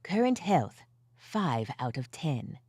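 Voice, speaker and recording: A woman working as game narrator, reading out dice rolls and stats from a script in a measured, matter-of-fact way. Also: sits low, big pitch swings, darkish tone, slightly rough, no echo, studio quality.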